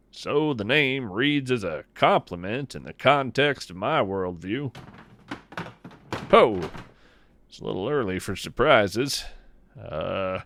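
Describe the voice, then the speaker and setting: A man playing a whimsical, gentle naturalist host, musing in a folksy, wry way through a scripted podcast monologue. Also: medium pitch, no echo, exaggerated voice. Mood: musing, fond, then mildly surprised. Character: whimsical, gentle naturalist host chatting over breakfast at home.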